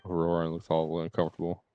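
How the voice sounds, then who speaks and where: Very low-voiced, mellow woman streamer. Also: clear, resonant tone. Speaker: game streamer. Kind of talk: casual live reaction commentary. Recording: muffled, echoey, game music behind. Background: game audio and music.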